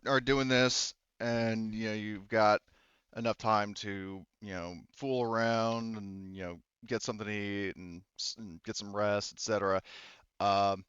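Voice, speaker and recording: A man's voice: lowish voice, fairly even intonation, slow, fairly bright tone, clean tone, dry recording, dull audio. A man narrating a tabletop role-playing game as game master in a casual, informal, loosely phrased way.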